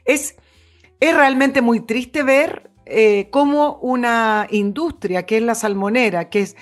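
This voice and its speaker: A woman's voice: fairly high pitch, slow pace, faint music behind. A woman with a Chilean accent co-hosting an opinionated political discussion, earnest and explanatory, repeating phrases for emphasis. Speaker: co-host and political commentator.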